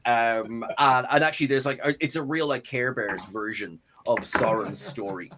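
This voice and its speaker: A man's voice: mid-range voice, normal speed, noisy background. A man taking part in a casual, joking group podcast chat. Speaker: podcast participant.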